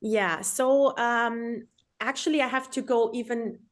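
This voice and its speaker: Podcast guest, female, German accent, slightly high voice, casual personal storytelling in an interview. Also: measured pace. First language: German and Transylvanian Saxon dialect.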